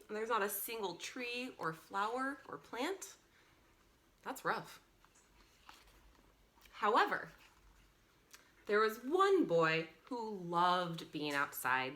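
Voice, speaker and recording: Bright, animated woman reading to children. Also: fairly high pitch. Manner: expressive, animated, pausing for encouraging asides to young listeners. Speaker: children's storytime presenter reading a picture book aloud. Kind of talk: read-along storytelling for young children.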